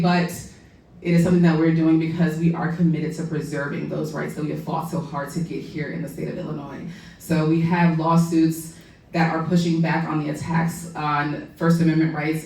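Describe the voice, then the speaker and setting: A woman policy lawyer with a loud voice, speaking earnestly and conversationally to a community audience, with frequent "um" and "right?". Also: sits low. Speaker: policy lawyer giving a public talk. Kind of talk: public talk to a community audience. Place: public library meeting room. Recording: echoey room, mic far off.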